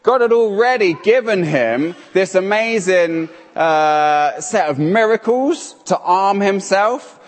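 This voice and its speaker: Animated, playful male preacher in a hall. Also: sits high, slow, few pauses. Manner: playful, animated, calls on people. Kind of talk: interactive sermon. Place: church hall. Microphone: handheld microphone. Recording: hall reverb.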